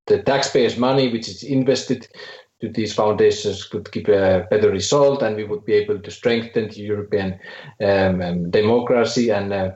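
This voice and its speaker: A man's voice: lowish voice, darkish tone, lo-fi sound. Hesitant Finnish-accented man, echoey line. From Finland. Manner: halting, many fillers and restarts. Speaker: think tank director, guest. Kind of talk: podcast interview.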